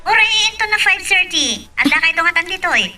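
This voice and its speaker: A woman's voice: very high voice, expressive, fairly quick, sharp tone, distant mic, heavily acted. A woman playing a bubbly, teasing young friend in an Ilocano audio drama. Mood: bubbly, surprised.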